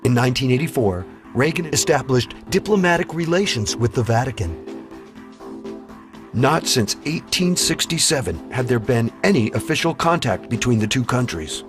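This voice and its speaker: A man's voice: mid-range voice, lively intonation, normal speed. A man reading scripted documentary narration in a formal, declarative way. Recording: music bed behind narration. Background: background music.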